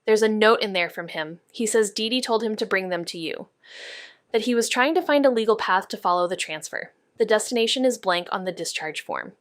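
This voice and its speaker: A woman playing a serious, analytical investigator in an audio drama, speaking in a measured, precise way. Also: medium pitch, animated, no echo, studio quality, natural voice.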